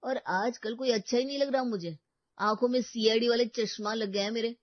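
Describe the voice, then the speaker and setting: A woman's voice: fairly high pitch, brisk, no echo, voice pushed up, lightly acted. An easygoing young bachelor in a cartoon story who jokes freely and speaks kindly of others, voiced by a woman narrator. The recording is dull and lo-fi, dry and close. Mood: friendly. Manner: joking, easygoing. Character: cheerful, joking young bachelor brother.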